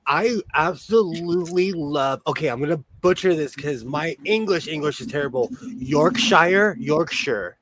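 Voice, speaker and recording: A man's voice: fairly high pitch, a little slow, fairly bright tone, slight background noise. A middle-aged American man hosting a casual sewing stream, chatty and self-deprecating, laughing often. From United States. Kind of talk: casual chat. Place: home, live stream.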